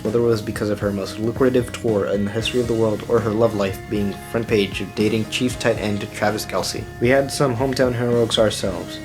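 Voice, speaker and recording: A teenage male student reporter reads scripted narration at a quick clip. His voice sits on the low side, and music plays clearly behind him. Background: loud music bed.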